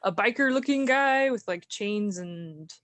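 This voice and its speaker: A woman narrating a group comic reading, describing scenes live in a halting way. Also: mid-range voice, expressive, dry recording.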